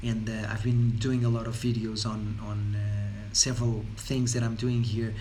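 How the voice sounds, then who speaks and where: A man's voice: on the low side, little pitch movement, normal speed, mellow, a little hiss or hum. A man from Portugal with a Portuguese accent, speaking about well-being in an unscripted talk, rambling along with many fillers and repeated words. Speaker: wellness speaker.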